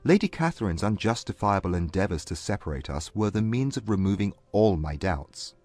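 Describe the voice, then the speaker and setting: Man as low-voiced, expressive gentleman suitor. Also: a little fast, no room sound. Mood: earnest, reassuring. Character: proud, earnest gentleman suitor, formal and devoted. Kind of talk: dramatized period romance audiobook.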